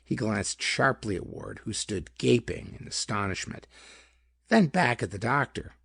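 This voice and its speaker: A man's voice: fairly low pitch, animated, a little slow, creaky, fairly bright tone, breathy edge, no echo, clean studio sound. A man reading a pulp detective story as dramatic scripted narration. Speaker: audiobook narrator.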